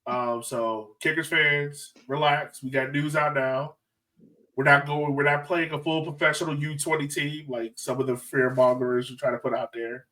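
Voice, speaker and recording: Man, slightly high voice, podcast host, slangy, joking, informal sports chat. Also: fairly even intonation, moderate pace, bright, forceful tone, recorded from a distance, poor audio.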